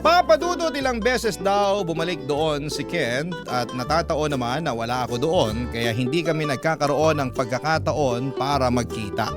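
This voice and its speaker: A man's voice: on the high side, animated, normal speed, few pauses. A man with a Filipino accent reading a listener's love story as a radio storyteller, in dramatic narration. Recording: loud music under the voice.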